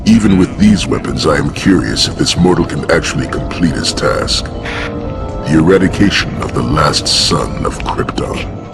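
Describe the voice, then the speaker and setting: Very deep alien tyrant. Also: male voice, expressive, full character voice. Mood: cold, menacing. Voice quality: gravelly. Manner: pompous, deliberate, menacing. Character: imperious alien tyrant overlord, cold and calculating. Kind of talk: scripted video game villain acting. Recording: music behind the voice.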